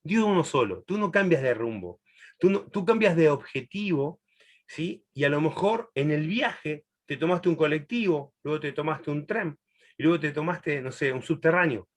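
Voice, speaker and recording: A man's voice: on the high side, expressive. A middle-aged man from Chile, raised in Argentina, teaching a Bible class in a chatty, laughing way. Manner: warm, chatty, laughs often, long pauses. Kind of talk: answering a student in a live class.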